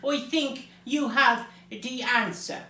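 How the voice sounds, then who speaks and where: A woman's voice: on the high side, slow, pushed and bright, voice pushed up. A woman voicing a sly, polite male village butcher in a storybook. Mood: conspiring. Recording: roomy, distant mic, faint noise.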